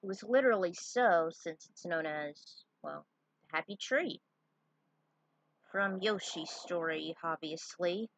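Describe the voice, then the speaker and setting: A woman with a husky voice narrating a story and dropping in casual asides. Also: fairly low pitch, slow pace, a little hiss or hum, rough recording. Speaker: fan fiction writer reading their own story.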